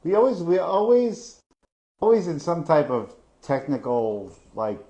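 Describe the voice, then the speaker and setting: A man's voice: fairly high pitch, big pitch swings, a little slow, dark tone. Rambling, laughing middle-aged man. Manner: rambling, laughing often, many fillers and restarts. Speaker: real estate co-host. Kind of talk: casual live stat chat.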